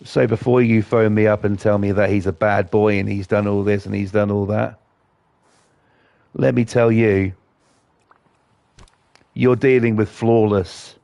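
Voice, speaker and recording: A man hosting casual gameplay commentary, blunt and defiant, his voice croaky from a cold. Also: fairly low pitch, little pitch movement, measured pace, long pauses, warm tone, no room sound. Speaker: gaming channel host.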